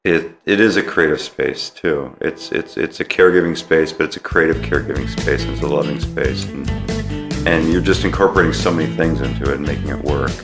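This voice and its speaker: A man with a very deep American voice hosts a casual podcast interview. He affirms his guest as he talks, and music plays faintly in the background. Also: brisk. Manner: supportive, affirming. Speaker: podcast host.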